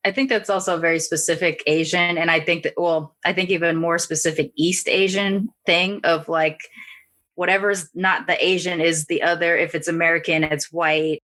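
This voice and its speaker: A woman hosting a casual interview podcast, asking questions in a relaxed, conversational way. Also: lowish voice, moderate pace. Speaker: podcast host.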